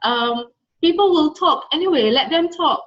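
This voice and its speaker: A woman's voice: high voice, lively intonation, moderate pace, fairly bright tone, muffled recording. A Singaporean woman being interviewed, talking conversationally and motivationally in an emphatic way that repeats key phrases. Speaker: interview guest, coach.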